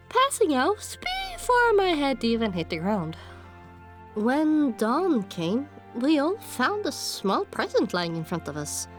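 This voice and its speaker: A woman narrating a dramatic first-person story in an expressive, emotive way, as an audiobook narrator. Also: fairly high pitch. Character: young pony heroine, emotional and earnest. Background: music under the voice.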